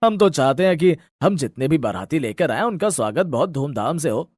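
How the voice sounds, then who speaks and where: A man's voice: on the high side, sing-song, moderate pace, darkish tone, dry recording, studio quality. A man voicing a proud, demanding father of a groom with an indignant manner in an audio story. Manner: indignant, haughty.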